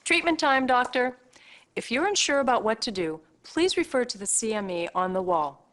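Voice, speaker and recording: An actress playing a businesslike treatment-room nurse in a video game, instructing and advising in scripted lines. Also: female voice, a little high, animated, normal speed, fairly bright tone. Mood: brisk, businesslike.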